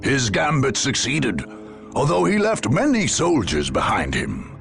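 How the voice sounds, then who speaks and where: Solemn game war chronicler: gravelly, widely swooping delivery, theatrical, over music. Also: male voice, mid-range voice, huge pitch swings, unhurried, exaggerated voice. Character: grave, imposing male war chronicler narrator. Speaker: narrator. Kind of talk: scripted dramatic game narration.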